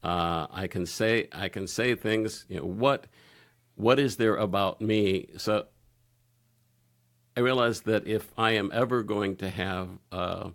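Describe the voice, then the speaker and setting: A man speaking candidly as a podcast guest, halting and searching for his words. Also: fairly low pitch, fairly bright tone. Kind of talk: candid personal conversation.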